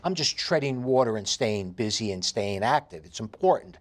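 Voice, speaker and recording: A man in his fifties with a heavy New York accent, telling his life story in an interview with animated emphasis. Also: lowish voice, moderate pace. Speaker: interview guest. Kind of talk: candid conversational interview.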